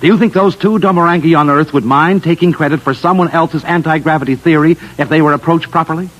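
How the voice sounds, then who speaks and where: Casting: man as a sardonic, quick-witted schemer of a scientist, fairly high voice, vintage sci-fi radio play. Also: natural voice. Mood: scheming. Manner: sardonic, brash. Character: wry, scheming, quick-witted male scientist, informal and grumbling. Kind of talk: 1950s radio drama acting.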